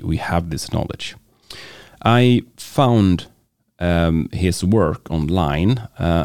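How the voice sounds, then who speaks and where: A man's voice: low voice, darkish tone, clear, resonant tone, no room sound, studio quality. A man with a Swedish accent, hosting a podcast and talking casually about books, hesitant with many fillers and restarts. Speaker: podcast host. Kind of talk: casual book talk.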